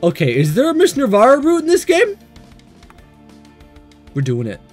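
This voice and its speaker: A man reading a visual novel aloud and commenting on it in a playful, excitable, self-deprecating way. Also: very high-pitched, moderate pace. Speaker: gaming video host. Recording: music under the voice.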